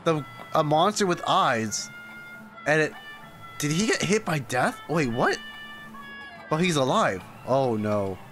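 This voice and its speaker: A man's voice: a little high, big pitch swings, slow, long pauses, a bit bright. A young man doing casual, reactive live commentary as a game streamer, often repeating himself as he muses. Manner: reactive, repetitive exclamations and musing. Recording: faint game music behind.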